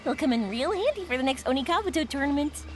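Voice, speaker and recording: A woman voicing a bold, curious, excitable young game character with a breezy, exclamatory, casual way of talking. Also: high-pitched, quick pace, slight background noise. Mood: eager, upbeat. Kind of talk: video game voice acting.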